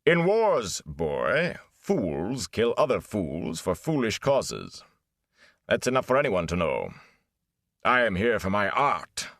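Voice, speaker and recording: A man reading a book aloud and voicing a flamboyant older male gleeman who is theatrical and scornful, with a grand, booming delivery. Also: huge pitch swings, takes it slowly, a bit bright, dry recording, clean studio sound, exaggerated voice. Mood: dismissive.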